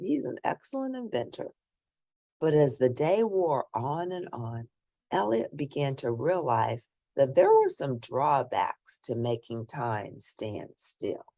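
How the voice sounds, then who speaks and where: A woman reading a children's picture book aloud, expressively, with theatrical, drawn-out emphasis for young listeners. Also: low voice, a little mellow, dry recording, studio quality. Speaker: storybook reader.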